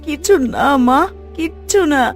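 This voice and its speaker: A sorrowful village mother from a cartoon, acted by a woman, speaks in a high voice. She is tender and pleading, with faint music underneath. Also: expressive, measured pace, vocal fry, exaggerated voice. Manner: pleading, emotional. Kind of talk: Bengali cartoon voice acting.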